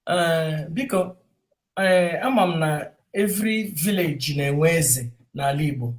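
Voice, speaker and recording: A man's voice: fairly high pitch, a little slow. Nigerian man interviewing, short prompts. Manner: mixes Igbo and English, brief prompts.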